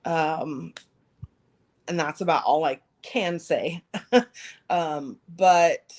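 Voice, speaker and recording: Slightly raspy woman chatting casually. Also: low-pitched, drawn-out words, a little mellow. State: slightly raspy after anesthesia. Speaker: podcast co-host. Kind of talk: casual chat.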